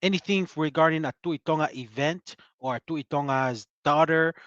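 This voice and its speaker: A man co-hosts a casual talk show, rambling and halting as he trails off softly. His voice sits a little high, and the recording sounds dull and dry. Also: no echo. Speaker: podcast co-host. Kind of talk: casual chat.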